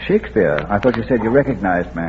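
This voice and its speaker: An actor playing a wry, urbane, bookish middle-aged gentleman in a 1940s radio comedy-mystery. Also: fairly low pitch, lively intonation, quick pace, natural voice. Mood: dry, amused. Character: droll, erudite, quote-loving middle-aged gentleman. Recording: tinny old radio recording, hall reverb, hiss.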